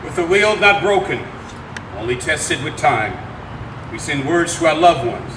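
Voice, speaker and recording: Man playing a high-voiced reflective prisoner. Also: big pitch swings, measured pace, background noise. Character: male prisoner reflecting on restless confinement. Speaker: stage actor in a dramatic reading. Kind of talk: dramatic poetry reading. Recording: distant, echoey, loud music behind.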